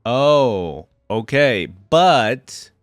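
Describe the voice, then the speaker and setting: A man's voice: mid-range voice, very animated, very slow, no room sound, clean studio sound, faint music behind. A man hosting game reaction commentary, talking and reading aloud in an informal, easygoing way. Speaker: host of a game lore reaction channel.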